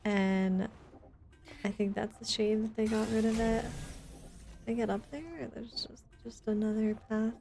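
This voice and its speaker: A woman's voice: on the high side, flat delivery, normal speed, vocal fry, warm tone. A woman streaming a video game, chatting casually and addressing her viewers as she plays. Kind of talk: casual livestream gameplay chat. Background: video game music.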